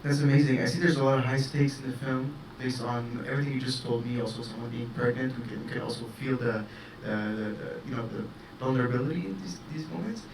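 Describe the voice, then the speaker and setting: A man interviewing a director, enthusiastic, asking halting, restarting questions. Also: mid-range voice. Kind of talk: festival interview. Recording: roomy, distant mic with noise.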